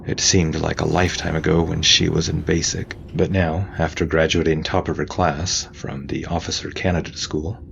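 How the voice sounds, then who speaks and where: A man reading scripted audiobook fiction in a dramatic, intense way with deliberate emphasis. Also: a bass voice, level tone, gravelly, a bit bright, lo-fi sound. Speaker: narrator. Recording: faint noise behind voice.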